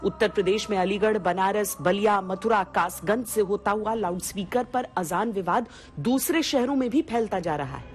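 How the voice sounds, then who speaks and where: A woman's voice: mid-range voice, normal speed. A woman reading scripted narration for a television news report. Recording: news voice-over over loud music. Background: music bed.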